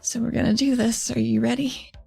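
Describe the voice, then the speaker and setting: A woman's voice: a little high, fairly even intonation, fairly quick, gravelly, darkish tone, breathy edge, soft background music, full character voice. A woman voicing a plucky, affectionate female leader in a tabletop game, informal and encouraging. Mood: determined, nervous.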